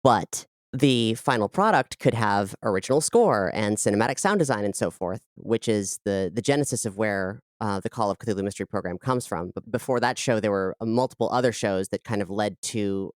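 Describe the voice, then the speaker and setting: Clear, close woman with a low-pitched voice. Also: fairly quick, hardly any pauses, dry recording. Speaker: interview guest, podcast creator. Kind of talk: casual conversational interview answers.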